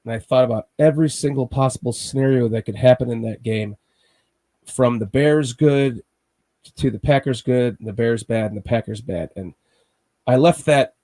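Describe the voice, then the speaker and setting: A man hosting a casual sports podcast. He has a cold and sounds slightly raspy, and he rambles with frequent restarts, like a nervous fan. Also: medium pitch, normal speed, warm tone. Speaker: podcast host.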